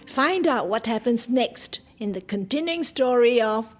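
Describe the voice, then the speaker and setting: A woman's voice: a little high, animated, unhurried. A woman giving scripted narration for a radio drama. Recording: loud music behind narration.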